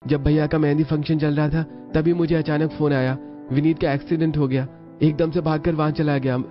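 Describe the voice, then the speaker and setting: A man's voice: fairly high pitch, flat delivery, brisk, natural voice. A man playing an earnest, dutiful young son in a cartoon family story. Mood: earnest, apologetic. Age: young adult. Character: dutiful, earnest newly married son explaining himself. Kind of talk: Hindi cartoon story voice acting. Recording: dull lo-fi audio over music.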